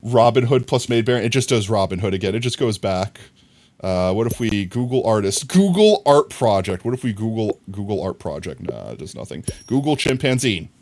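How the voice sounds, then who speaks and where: A man streaming a crafting game live, talking to his chat and thinking out loud, with sudden excited high yelps. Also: fairly quick.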